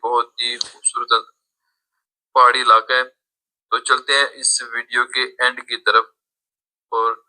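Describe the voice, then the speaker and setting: A man giving a recorded self-introduction, greeting and introducing himself slowly, captured on a phone. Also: medium pitch, flat delivery, bright, forceful tone, low-quality recording.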